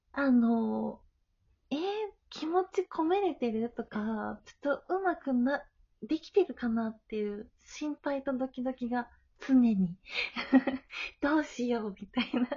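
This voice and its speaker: A woman's voice: on the high side, measured pace, dark tone, slightly rough. A woman chatting casually in a modest, polite, giggly way. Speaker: live streamer, amateur line reader. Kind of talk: casual chat. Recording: dull lo-fi audio.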